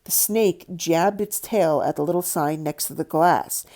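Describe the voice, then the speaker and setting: A woman narrating a children's novel aloud in an expressive storybook style. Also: low-pitched, measured pace. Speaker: audiobook narrator.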